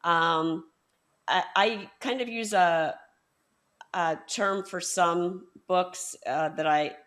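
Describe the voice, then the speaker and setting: Female podcast host, somewhat low voice, halting conversational delivery with frequent fillers. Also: a bit bright. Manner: hesitant, many fillers and restarts. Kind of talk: conversational interview.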